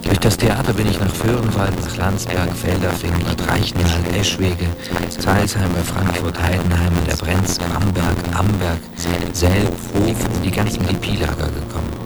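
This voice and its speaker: Witness testimony: deep-voiced man, unhurried, loud music bed. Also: steady tone. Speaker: witness recalling camp life. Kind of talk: recorded testimony.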